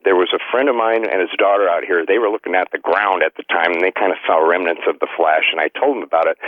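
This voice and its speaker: Man, radio caller, deep voice, casual storytelling, tinny telephone sound. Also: no echo. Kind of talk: casual anecdotal chat. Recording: narrow, tinny phone line.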